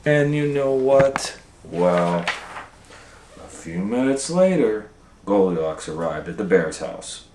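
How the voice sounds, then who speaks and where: A man's voice: fairly low pitch, very animated, slow, background noise. A man reading a picture book aloud to children, with folksy chatty asides and questions to listeners. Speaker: storytime reader and educator. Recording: roomy, echoing room sound.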